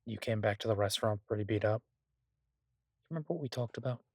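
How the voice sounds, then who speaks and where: A man playing a laid-back, idealistic chef in an audio roleplay, talking casually with hesitant pauses and mild swearing. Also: fairly low pitch, darkish tone, dry recording. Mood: tentative, confiding. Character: laid-back, idealistic male chef, devoted to honest food. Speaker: chef and restaurant owner character in an audio roleplay. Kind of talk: intimate conversational audio roleplay.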